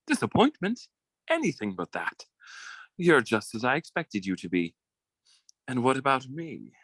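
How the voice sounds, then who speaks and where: A man playing a smooth-talking, scheming suitor in an old-time radio melodrama, with a suave, theatrical and formal manner. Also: medium pitch, wildly swooping pitch, measured pace, fairly bright tone, dry recording, full character voice, rough recording. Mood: charming.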